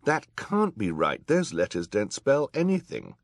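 A man as an earnest, raised-pitch clever boy. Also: huge pitch swings, measured pace, dry recording, clean studio sound, exaggerated voice. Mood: objecting. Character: calm, clever, reasoning young boy.

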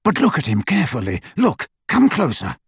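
A haughty aristocrat, eager and impatient, as a man puts him on for a storybook. His pitch climbs and bounces on every phrase. The voice is a bit husky and recorded dry and close. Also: sing-song, measured pace, dry recording, full character voice. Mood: avid.

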